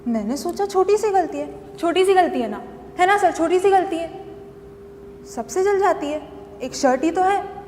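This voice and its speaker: Casting: an unhinged battered wife confessing in a dramatic monologue; very high voice, slow halting delivery, repetitive and pleading. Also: female voice, lively intonation, a little mellow. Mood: meek, pleading. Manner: halting, repeats phrases, pleading. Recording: long echoing hall reverb, faint noise.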